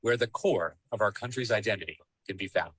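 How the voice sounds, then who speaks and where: A man reading scripted documentary narration in a solemn, measured and formal way. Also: on the low side, a bit bright, husky, no room sound.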